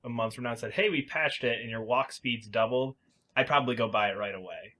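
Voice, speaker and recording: A man speaks in a bright, crisp-edged voice of average pitch. He sounds like a podcast co-host in casual discussion, offering plain opinions on games in an everyday conversational style. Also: brisk. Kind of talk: casual opinionated game chat.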